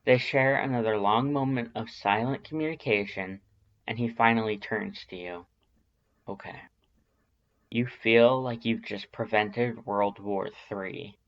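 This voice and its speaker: A man's voice: on the low side, steady tone, a little slow, highs cut off. A man narrating scripted fiction in a dramatic storytelling style.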